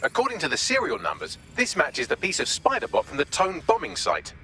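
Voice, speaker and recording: Game AI helper: British, wry, bright higher-set voice, quick and articulate. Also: male voice, big pitch swings, slight background noise, exaggerated voice. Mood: brisk, informative. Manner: dry sarcasm, breezy and articulate. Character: witty, sardonic male artificial intelligence assistant. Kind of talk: video game voice acting.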